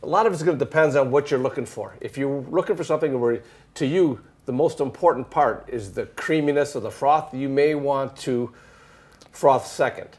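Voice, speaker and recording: A man's voice: mid-range voice, normal speed, a little mellow. A man co-hosting a live stream as the coffee expert, explaining brewing steps in a casual, practical way.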